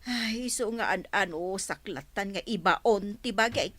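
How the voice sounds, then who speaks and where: A woman playing a sharp-tongued shopkeeper who chases a debt and scolds loudly. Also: mid-range voice, moderate pace, lightly acted. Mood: weak, sickly. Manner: loud and scolding.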